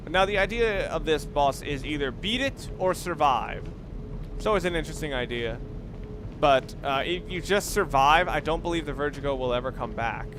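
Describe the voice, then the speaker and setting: A man giving casual, hesitant, stop-start commentary while playing a video game. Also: medium pitch. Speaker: let's play commentator. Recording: clearly noisy home recording.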